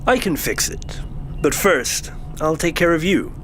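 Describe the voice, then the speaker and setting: A man's voice: fairly high pitch, lively intonation, measured pace, a bit bright, slight background noise. A man playing a reserved, coolly controlling professor in an audio drama. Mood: coolly menacing.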